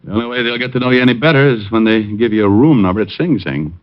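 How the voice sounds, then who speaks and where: An American actor playing a cocky, wisecracking private detective in a 1950s radio drama, wry and hard-boiled. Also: male voice, fairly low pitch, fast talker, close to an everyday voice. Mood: wry, cool. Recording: muffled old radio recording, loud music behind.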